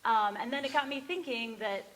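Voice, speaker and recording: A woman's voice: a little high, a bit bright. A woman talking casually and reflectively between songs at a live barn performance, searching for her words. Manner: halting, informal, searching for words. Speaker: singer-songwriter introducing songs. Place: barn, live before a small audience. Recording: live in reverberant barn, music behind.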